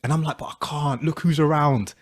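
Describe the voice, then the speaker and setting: A man's voice: on the high side, fairly even intonation, fairly quick. A man co-hosting a podcast, talking in a rambling, chatty way full of fillers. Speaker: podcast co-host. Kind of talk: casual interview chat.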